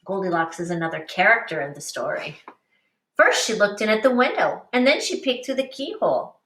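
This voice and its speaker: A woman teacher reading a picture book aloud to young children in an expressive, instructive way, pausing to explain and addressing them directly. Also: medium pitch, a little hiss or hum. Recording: roomy, echoing, distant microphone.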